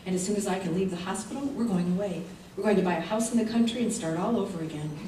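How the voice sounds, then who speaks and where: A woman's voice: mid-range voice, fast talker, dark tone, close to an everyday voice. A woman playing a fragile, earnest injured wife in an old-time radio drama on stage, with melodramatic delivery. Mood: trusting, wistful. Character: fragile, trusting injured wife turning distraught and determined. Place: theater stage before a live audience. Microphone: stage mics, recorded by far camera. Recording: echoey stage hall, far mic, faint noise.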